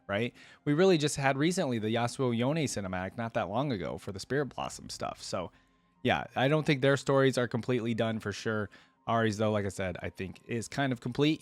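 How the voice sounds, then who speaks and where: A man's voice: mid-range voice, no room sound. A man giving casual, unscripted commentary as a reaction channel host, rambling and thinking aloud as he goes.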